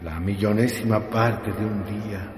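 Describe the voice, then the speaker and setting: An actor playing a gentle man who softly calms a dying woman in a radio drama. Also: fairly low pitch, unhurried, lightly acted. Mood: soothing. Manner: soft, calming. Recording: echoey, distant, noisy radio play.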